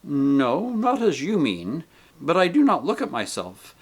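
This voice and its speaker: A man voicing a radiant male spirit who answers calmly and patiently. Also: fairly high pitch, unhurried, husky, voice pushed up, heavily acted. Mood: serene. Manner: calm, patient, gently reassuring. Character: radiant joyful male spirit, calm and gentle.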